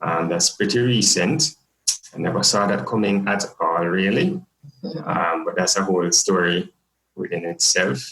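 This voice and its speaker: A man's voice: deep voice, a little slow, fairly bright tone, echoey room, poor audio. A young man with a Jamaican accent, a podcast guest talking casually and jokingly with many fillers. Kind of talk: casual interview chat.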